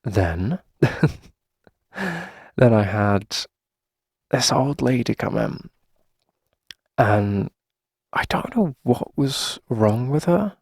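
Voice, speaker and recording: A man's voice: medium pitch, sing-song, drawn-out words, pauses often, darkish tone, no room sound, clean studio sound, full character voice. A man playing a friendly, flustered coffee shop owner in a casual, chatty ASMR roleplay, talking hesitantly as if to a favorite customer. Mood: weary, exasperated. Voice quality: creaky, gravelly. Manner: hesitant, chatty, sighing conversational delivery.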